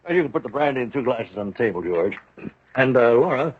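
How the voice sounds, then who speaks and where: An actor playing a shrewd, wealthy middle-aged father in a 1970s radio drama, raspy, genial on the surface with cold menace beneath. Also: mid-range voice, animated, quick pace, a little hiss or hum, natural voice. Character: shrewd, menacing rich older father, board chairman. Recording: dull lo-fi old radio recording.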